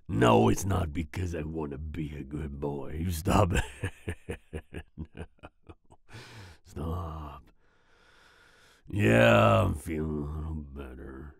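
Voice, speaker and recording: A man playing a volatile but soft-hearted werewolf boyfriend in an ASMR roleplay, growling and raspy, with sighs and groans. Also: rumbling low, no room sound, clean studio sound, heavily acted. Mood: softened, flustered. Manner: snarling outbursts, sighs and groans, slow brooding delivery. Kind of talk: ASMR roleplay audio drama.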